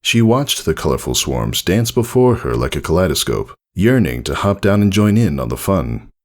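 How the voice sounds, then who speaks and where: A man reading a scripted story aloud as narrator, in a measured, deliberate and expressive way. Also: deep voice. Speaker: narrator reading fan fiction.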